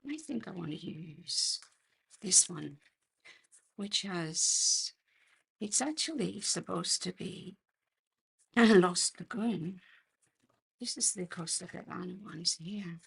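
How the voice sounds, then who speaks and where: An Australian woman with a croaky voice hosts a casual live craft tutorial, chatting and murmuring to herself as she works. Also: fairly low pitch, lively intonation. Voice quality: husky, croaky. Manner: unhurried, chatty, murmuring to herself while working. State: croaky voice, clearing throat. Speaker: host of a craft demonstration livestream.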